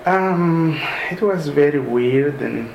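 A man in his thirties from Sudan, softly recalling his move abroad in an unhurried way with many pauses. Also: a little high, animated, creaky, dull audio. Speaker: interviewee. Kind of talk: casual personal recollection. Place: room in a mosque. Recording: echoey room with ambient noise.

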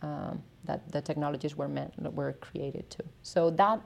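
A Spanish woman with a Spanish accent, a native Spanish speaker, chatting as a guest in a casual interview, self-deprecating and quick to laugh. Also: low voice, vocal fry. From Spain. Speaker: artist and researcher guest.

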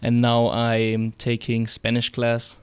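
A man's voice: mid-range voice, monotone, takes it slowly, clear, resonant tone, no echo. A teenage male exchange student from Germany with a German accent, casually answering interview questions. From Cologne, Germany. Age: teens.

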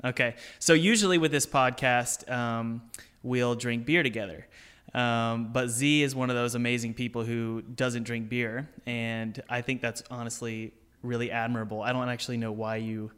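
A man hosts a podcast in a chummy, enthusiastic way, calling his guest "dude." His voice has a forceful, bright edge at a middling pitch. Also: fairly even intonation, normal speed, smooth. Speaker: podcast host. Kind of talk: casual interview chat.

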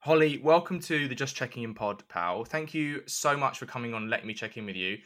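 A man's voice: mid-range voice, expressive, a little fast, a bit bright, faint music behind. A man hosting an interview podcast, speaking in an informal, chatty register. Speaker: podcast host. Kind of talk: scripted intro and casual interview.

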